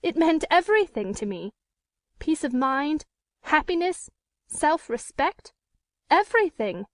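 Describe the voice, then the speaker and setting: A woman voicing a proud, anguished gentlewoman in an audiobook, speaking in an earnest, formal and emotive way. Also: sits high, sing-song, unhurried, no echo, studio quality, voice pushed up. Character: anguished, proud, unhappily married gentlewoman pleading her case.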